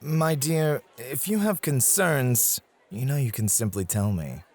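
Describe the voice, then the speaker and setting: A man playing a devoted, quietly possessive club host in an ASMR roleplay, speaking in polite, formal, flowery phrases. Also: mid-range voice, expressive, unhurried, faint music behind. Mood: courteous, devoted.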